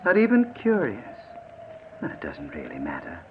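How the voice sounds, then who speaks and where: A man's voice: sits low, wildly swooping pitch, moderate pace. An actor playing a menacing, vengeful gunman in 1940s radio drama, coldly taunting with sinister, mocking politeness. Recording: muffled, noisy 1940s radio recording.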